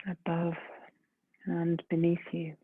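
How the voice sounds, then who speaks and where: A woman leading a guided meditation in a gentle, soft, breathy voice, unhurried, with long pauses between phrases. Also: fairly low pitch, completely flat delivery, no room sound. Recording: muffled phone-like lo-fi sound.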